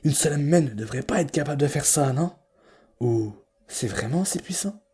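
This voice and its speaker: A man playing a frightened, bitter, proud young male creature in a fan comic dub, speaking in a dramatic, halting, stammering way. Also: on the high side, animated, warm tone, breathy edge. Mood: puzzled.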